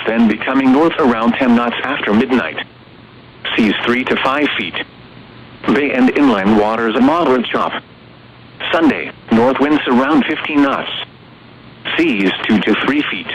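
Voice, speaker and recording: A computer-generated male voice reading an automated weather broadcast in a flat, evenly paced way with odd stresses. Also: mid-range voice. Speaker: automated weather radio announcer. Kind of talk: text-to-speech weather broadcast. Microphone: radio receiver recording. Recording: dull radio broadcast with clear noise.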